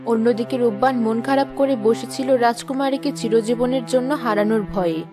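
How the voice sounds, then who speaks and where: A woman's voice: fairly high pitch, normal speed, music in the background, lightly acted. A woman reading scripted storybook narration for a fairy-tale cartoon. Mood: narrating.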